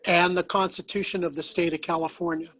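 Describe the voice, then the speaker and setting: A man's voice: high-pitched, flat delivery, pushed and bright. Man reading an oath, losing his voice. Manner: halting, apologetic. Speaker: board member leading the oath. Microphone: home microphone on a video call.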